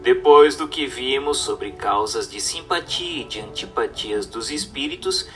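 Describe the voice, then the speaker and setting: A man's voice: medium pitch, expressive, unhurried, sharp tone, far from the mic, soft background music. A man narrating a scripted radio drama adaptation of a book, reading in an emphatic, declamatory way.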